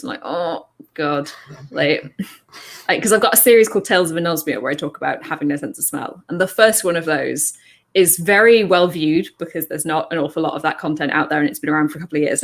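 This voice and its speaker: A woman video creator talking casually on a panel, with a relatively deep voice, laughing often and restarting her sentences. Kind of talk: casual online panel chat.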